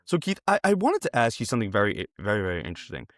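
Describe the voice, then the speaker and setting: A man's voice: fairly low pitch, huge pitch swings, rapid-fire, fairly bright tone, no echo. An American man hosting a conversational interview, asking wordy questions that he rephrases as he goes. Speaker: interviewer, host.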